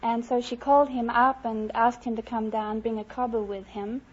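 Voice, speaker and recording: A woman's voice: a little high, fairly even intonation, moderate pace, darkish tone, husky. A woman with an Australian accent speaking casually and hesitantly as a contestant on a radio quiz show. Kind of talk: casual unscripted conversation. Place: radio studio with audience. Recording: muffled vintage radio, hiss, audience noise.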